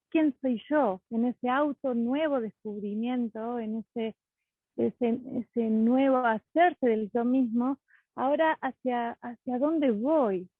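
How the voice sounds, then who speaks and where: A woman with a husky voice, hesitantly describing a case over a tinny online call, repeating words as she goes. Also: fairly high pitch, no room sound. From Ecuador. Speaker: participant, psychologist asking a question. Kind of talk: spontaneous question describing a case. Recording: tinny phone-like line.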